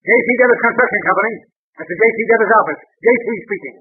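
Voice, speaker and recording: An actor playing a blustering, sarcastic company boss in a gruff, cutting 1930s radio comedy style. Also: male voice, high-pitched, rapid-fire. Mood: brisk, threatening. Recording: muffled old 1930s radio recording.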